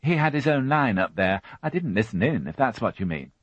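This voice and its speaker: A man's voice: mid-range voice, sing-song, fairly quick, dry recording, voice pushed up. A man voicing a fussy, prim middle-aged housewife who chatters and turns indignant, in a storybook reading. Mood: chatty. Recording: dull, dry studio audiobook.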